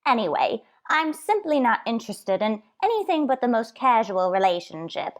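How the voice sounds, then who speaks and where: Actress as elderly landlady, rather high voice, coy, prim, lovestruck, protesting, old-time radio sitcom. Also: sing-song, normal speed. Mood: coy denial.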